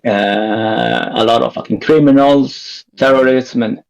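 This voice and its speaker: A gravelly-voiced man from Peru in his thirties, talking as a podcast guest. He rambles casually, swears freely and laughs easily. His pitch sits in the middle range. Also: measured pace. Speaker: guest band member.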